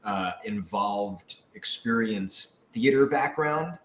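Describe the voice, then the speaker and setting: A man's voice: fairly low pitch, animated, takes it slowly, a bit bright, a little hiss or hum. Playful man chatting in an echoey room. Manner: playful, interjecting, wry. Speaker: voice actor on a panel. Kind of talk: casual joking chat. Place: indoor room with echo. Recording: roomy, echoing room sound.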